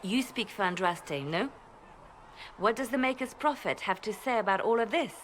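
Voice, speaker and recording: A woman's voice: mid-range voice, lively intonation, moderate pace, fairly bright tone, a little hiss or hum, natural voice. An actress playing a bitter, challenging woman in a video game, with a pointed, questioning delivery. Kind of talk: dramatic video game dialogue.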